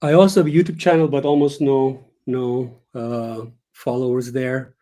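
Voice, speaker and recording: Earnest man, mellow voice. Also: mid-range voice, level tone, moderate pace. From Turkey. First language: Turkish. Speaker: guest author. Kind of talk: casual interview over a live stream.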